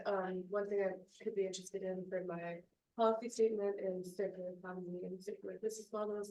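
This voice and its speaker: A woman's voice: medium pitch, mellow. A young woman from Illinois introducing herself as an exchange student, friendly and slightly hesitant. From Illinois, United States. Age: young adult. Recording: echoey classroom.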